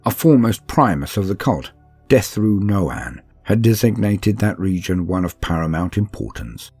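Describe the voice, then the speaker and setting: A man giving a calm, steady, measured reading of scripted war lore, in the style of a narrator for a sleep-aid audiobook. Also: deep voice, breathy edge, no room sound, faint music behind. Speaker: narrator reading a campaign book.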